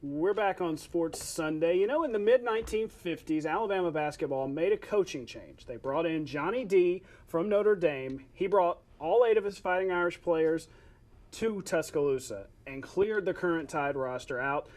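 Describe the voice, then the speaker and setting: A man co-anchoring a TV sports show, delivering highlights commentary with a light joking touch. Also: lively intonation, normal speed, a little mellow.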